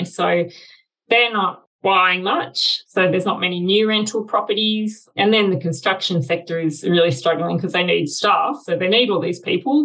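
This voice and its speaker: Low-voiced Australian woman, slightly creaky. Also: fairly bright tone, low-quality recording. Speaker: economist, interview guest. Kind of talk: conversational expert commentary.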